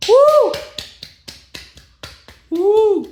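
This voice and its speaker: An excitable onlooker in a read-aloud story, acted out by a man, yelling in a very high, shrill voice. Every phrase comes out as a loud exclamation. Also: drawn-out words, a little hiss or hum, exaggerated voice. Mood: excited cheering. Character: excitable onlookers shrieking, cheering and panicking.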